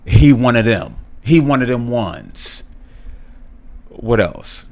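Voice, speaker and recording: A man's voice: mid-range voice, animated, takes it slowly, a little mellow. A middle-aged man hosting a podcast alone, speaking earnestly, often repeating and restarting words and lifting his voice when he stresses a point. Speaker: podcast host. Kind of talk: solo commentary.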